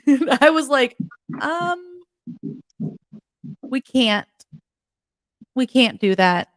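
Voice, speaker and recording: A woman hosting a casual interview, enthusiastic and quick to laugh. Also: high-pitched, animated, fairly bright tone, dry recording, rough recording. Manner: enthusiastic, giggly. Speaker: host.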